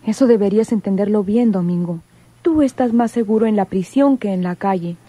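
A woman's voice: medium pitch, fairly quick, warm tone, husky, dry recording, natural voice. An actress with a Mexican accent playing a cautious, stern woman in a radio serial. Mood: cautious, firm.

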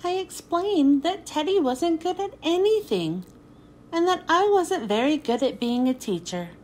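A woman reading a children's picture book aloud in an expressive storytelling manner, then thanking her listeners. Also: high voice, a little slow, slight background noise.

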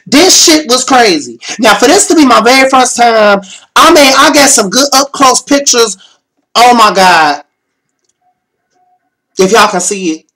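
A young man, slightly hoarse, excitedly recapping a concert in a casual bedroom vlog, swearing and repeating himself. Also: very high voice, unhurried, pauses often, poor audio. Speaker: vlogger. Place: small bedroom.

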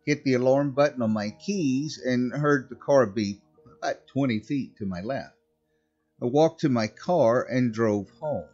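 A man's voice: mid-range voice, dull audio. A man narrating a scripted first-person horror story as a storyteller. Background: background music.

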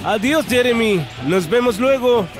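A man's voice: very high-pitched, unhurried, over music. A man narrating a preschool cartoon, talking to the characters with encouraging exclamations and questions.

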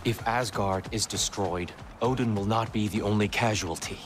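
An actor playing a sorrowful, gentle former war god in a video game, speaking in a grave and measured way. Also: male voice, on the low side, over music, lightly acted. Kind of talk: dramatic video game acting.

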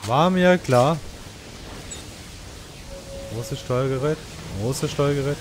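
A man's voice: mid-range voice, slow pace, background noise. A man giving casual, slangy gaming commentary, playfully griping and talking to the game's characters. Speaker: let's player.

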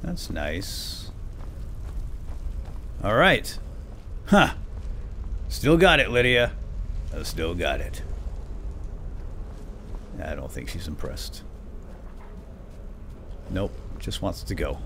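Man, lowish lively voice, unhurried pace, playful role-played gaming commentary, game sound behind. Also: slight background noise. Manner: playful, talks to game companion. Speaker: let's play host.

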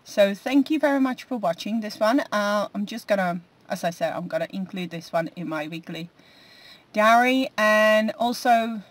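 A woman's voice: mid-range voice, animated, moderate pace, no echo. A woman in her forties chatting casually to camera from inside a parked car, rambling with many fillers and restarts. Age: 40s. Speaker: vlogger. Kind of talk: casual food diary chat.

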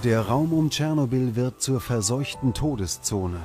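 A man reading scripted documentary narration in a measured, serious way. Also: mid-range voice, animated, a little mellow. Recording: music under the narration. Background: background music.

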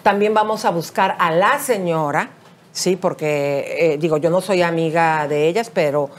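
A woman's voice: fairly low pitch, a little slow. Chatty Mexican woman hosting gossip. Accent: Mexican Spanish. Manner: conversational, addresses viewers as comadres, fillers eh and este. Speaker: gossip show co-host. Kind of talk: celebrity gossip commentary. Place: bright TV studio set.

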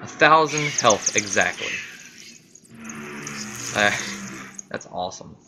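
A man's voice: fairly low pitch, lively intonation, takes it slowly, over music. A man giving casual, rambling gameplay commentary, muttering reactions to himself as he plays. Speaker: gaming video host. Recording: clearly noisy home recording.

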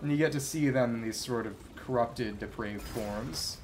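A man's voice: mid-range voice, normal speed, a little hiss or hum. Man rambling through casual game commentary. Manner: rambling, thinking aloud. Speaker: video game streamer. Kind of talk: casual live gameplay commentary. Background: video game music.

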